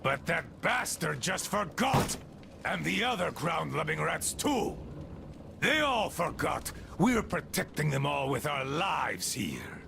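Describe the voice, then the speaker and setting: An actor playing an unhinged, bitter, abandoned gang leader in a video game, gravelly and rambling, swinging from scornful muttering to shouts. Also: male voice, fairly high pitch, big pitch swings, slow pace, background noise, heavily acted. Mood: angry, scornful. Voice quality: gravelly, creaky. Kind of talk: video game character acting.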